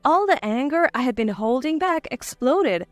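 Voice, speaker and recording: A woman's voice: high voice, expressive, moderate pace, no room sound, faint music behind. A woman reading a scripted first-person dramatic story as narrator.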